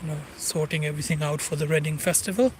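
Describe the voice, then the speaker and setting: A man from Punjab in Pakistan with a Pakistani accent, narrating a walking tour by a river in a halting way with many fillers. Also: fairly high pitch, steady tone. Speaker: vlogger. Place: outdoors by a river. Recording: loud outdoor noise. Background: loud outdoor ambience with traffic.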